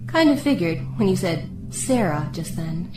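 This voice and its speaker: A woman's voice: on the low side, animated, normal speed, close to an everyday voice. Lady playing a guarded heartbroken woman prisoner, dramatic radio play style, echoey room, music under it. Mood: gentle teasing. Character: guarded, heartbroken female prisoner, aloof and fatalistic. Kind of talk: dramatic audio drama acting. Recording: roomy echo with music underneath.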